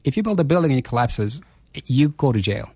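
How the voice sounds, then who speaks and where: Man, forceful, rhetorical, repeats phrases, dry close sound. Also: medium pitch, very animated, fairly quick, slightly rough, dry recording. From South Africa. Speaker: chief investment officer, guest. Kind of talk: radio interview.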